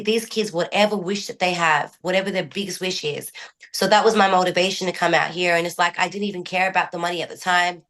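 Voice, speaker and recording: A woman's voice: lowish voice, a bit bright. A young woman from Australia with an Australian accent partly shaded by American speech, telling her story candidly as an interview guest. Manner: candid, rambling storytelling with restarts. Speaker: guest musician. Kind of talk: casual interview talk.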